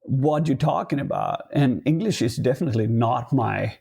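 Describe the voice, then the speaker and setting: A man in his thirties, a native Swedish speaker with a Swedish accent, talking casually as a podcast guest and often pausing and restarting while he searches for words. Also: medium pitch, animated, gravelly, a little mellow, slightly rough. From Sweden.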